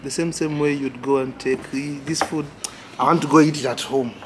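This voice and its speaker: Kenyan man, easygoing, repetitive fillers, mid-pitched voice, clear background noise. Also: steady tone, fairly quick. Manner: laid-back, repeats words, lots of "yeah". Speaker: guest teaching slang. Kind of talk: casual chat. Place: cafe at night.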